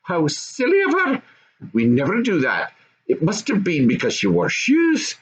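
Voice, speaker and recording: A man's voice: high-pitched, wildly swooping pitch, unhurried, husky, voice pushed up, full character voice. A man voicing an opinionated, haughty goblin mother in a storybook. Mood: nosy, dismissive.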